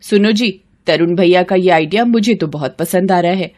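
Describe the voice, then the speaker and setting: A woman's voice: fairly low pitch, moderate pace, a little mellow, close to an everyday voice. Woman acting a bossy, scornful young daughter-in-law. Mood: scheming, pleased. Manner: scolding, demanding. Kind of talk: moral story voice acting.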